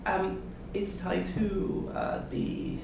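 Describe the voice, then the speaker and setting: A man, a Hebrew speaker and PhD student, answering interview questions hesitantly with many pauses and ums. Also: high-pitched, completely flat delivery, creaky. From Israel. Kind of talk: casual interview. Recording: echoey room, distant mic, noisy.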